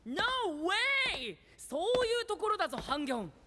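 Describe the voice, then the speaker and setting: A male voice actor playing a proper, earnest young gentleman in an anime-style audio drama, formal and given to English exclamations. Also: very high voice, sing-song, moderate pace, background noise. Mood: exasperated, scolding.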